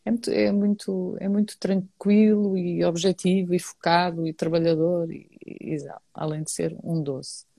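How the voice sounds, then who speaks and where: Mellow low-voiced Portuguese woman. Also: fairly even intonation, normal speed, gravelly, hardly any pauses, dry recording. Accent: European Portuguese. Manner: hesitant, repeats words, many restarts. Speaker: singer and guest. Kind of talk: casual conversation.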